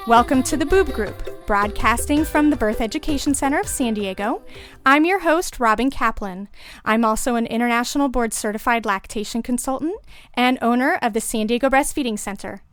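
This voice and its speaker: A woman hosting a parenting podcast in a studio, reading her announcements and introductions in a bright, clear way. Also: on the high side, fairly quick, soft background music. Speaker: podcast host and lactation consultant. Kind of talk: scripted show hosting.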